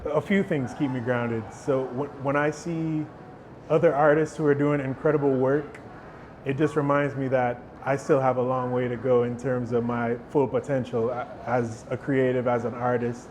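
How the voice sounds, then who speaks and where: A young man, a musician, giving relaxed, thoughtful interview answers and often restarting his words. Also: mid-range voice, moderate pace, slight background noise. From Jamaica. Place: outdoor stage.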